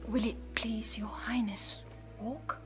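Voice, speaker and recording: An actress playing a tender, devoted princess in a classical audio drama, hushed, breathy and earnest. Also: female voice, a little high, steady tone, slow, faint music behind. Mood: tearful, loving. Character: tender, grieving princess, devoted daughter of a king.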